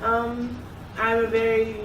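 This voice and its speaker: A young woman from South Korea talks in a loose, chatty way and laughs easily between thoughts. She sounds a little distant from the mic, in a reverberant room with clear background noise. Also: a little high, monotone, slow pace, muffled recording. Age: young adult. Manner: chatty, laughs often, many restarts. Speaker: interviewee, club secretary. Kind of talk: casual interview.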